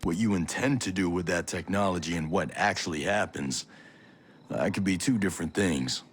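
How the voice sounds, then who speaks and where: An actor playing a steady, measured special forces soldier. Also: male voice, low-pitched, slight background noise, lightly acted. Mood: calm. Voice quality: calm, measured. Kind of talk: video game cutscene acting.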